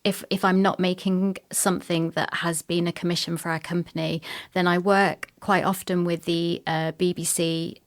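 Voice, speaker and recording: Here is a woman with a slightly low-pitched voice. She is a guest in a podcast interview, explaining her working life in a conversational way. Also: flat delivery, normal speed. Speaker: guest, sound designer and drama producer. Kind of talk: podcast interview talk about work.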